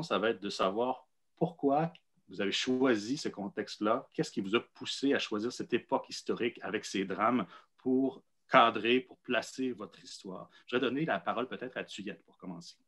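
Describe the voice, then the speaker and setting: A man with a rather low voice and a Québécois accent moderates a literary interview. He speaks in measured, articulate phrases, setting out his points and questions as a host. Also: fairly bright tone.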